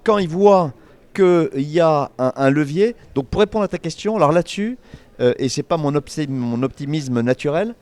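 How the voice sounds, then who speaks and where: A man speaking off the cuff as an entrepreneur at a public debate, emphatic and colloquial, with halting phrasing. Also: fairly high pitch, animated, a little mellow. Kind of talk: spontaneous speech at a public debate.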